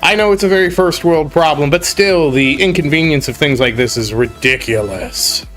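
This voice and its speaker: A man's voice: a little high, moderate pace, fairly bright tone, faint music behind. Congested man chatting on a game stream. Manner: grumbling, rambling, exasperated. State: congested with a cold. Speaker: gaming streamer. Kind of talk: casual live stream chat.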